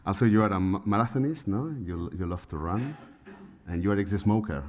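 Deep, dark-voiced male panel moderator. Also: expressive. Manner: halting, non-native phrasing with fillers. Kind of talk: introducing panelists at a conference. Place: conference room on a panel stage. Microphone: table microphone.

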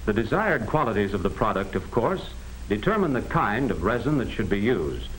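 An American man reading scripted 1940s educational film narration in a measured, explanatory manner on a vintage soundtrack. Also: fairly low pitch, very animated, a little hiss or hum, rough recording. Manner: measured, explanatory, addressing the listener directly.

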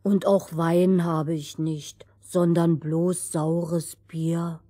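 A woman's voice: lowish voice, level tone, drawn-out words, mellow, breathy edge, exaggerated voice. A woman voicing a simple, good-natured youngest son in a fairy tale, earnest and plain. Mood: kindly.

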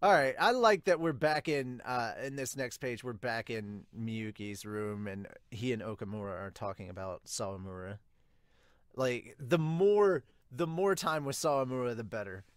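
A man's voice: medium pitch, animated, moderate pace, clean tone, dry recording, studio quality. A man in his thirties giving enthusiastic, casual reaction commentary as the host of a review channel. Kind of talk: casual reaction commentary with read-aloud dialogue. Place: small home room indoors.

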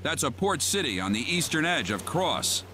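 A wry laid-back sea captain. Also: male voice, fairly high pitch, very animated, moderate pace, background noise. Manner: casual, wry and talkative. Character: laid-back middle-aged male ship captain. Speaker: ship captain. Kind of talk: video game voice acting.

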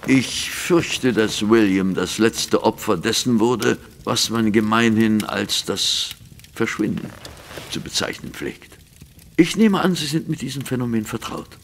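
Grave gentleman client for audio drama: past middle age, low pitch, animated intonation, formal, practical, earnest. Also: a little slow, a little hiss or hum. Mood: grave, worried. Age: middle-aged. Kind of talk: German audio drama acting.

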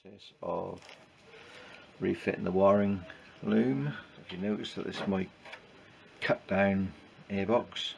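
A man giving informal hands-on workshop commentary in a halting, matter-of-fact way with clipped sentences. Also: low voice, steady tone, vocal fry, a little mellow. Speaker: presenter of a motorbike build vlog. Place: small wooden shed workshop. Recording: noisy workshop recording with background ambience.

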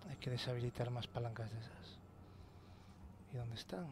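A man's voice: mid-range voice, steady tone, moderate pace, noisy background. A man with a Spain Spanish accent, casually commenting on gameplay, swearing and muttering to himself.

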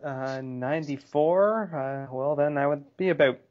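Relaxed young podcast co-host. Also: male voice, on the high side, measured pace, dull audio. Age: 20s. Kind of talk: casual podcast chat.

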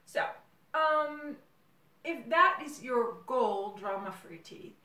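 A woman's voice: a little high, animated, takes it slowly, sharp tone. A middle-aged native Dutch speaker, a woman hosting a casual video series, thinking aloud hesitantly in a room at home. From Netherlands. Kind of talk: casual monologue to camera. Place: small room at home, indoors. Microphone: camera several feet away. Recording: roomy, distant camera microphone.

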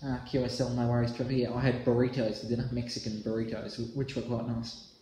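A man's voice: medium pitch, flat delivery, fast. A young Australian man chatting casually as an amateur radio operator, using radio jargon. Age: young adult. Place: small indoor room. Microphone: phone at arm's length. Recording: roomy echo, slight hiss.

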